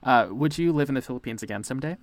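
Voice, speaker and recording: Fast-talking man hosting an interview. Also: mid-range voice, animated, no room sound, clean studio sound. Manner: quick, searching phrasing, thinks out loud. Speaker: podcast host. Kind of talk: relaxed interviewing.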